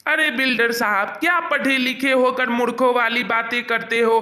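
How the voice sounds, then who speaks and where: Ambitious male doctor, acted by a man: very high, piercingly bright, insistent cartoon delivery. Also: fairly even intonation, moderate pace, full character voice. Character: ambitious, impatient male doctor, dismissive of ghosts. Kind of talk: cartoon story dialogue. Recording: roomy echo.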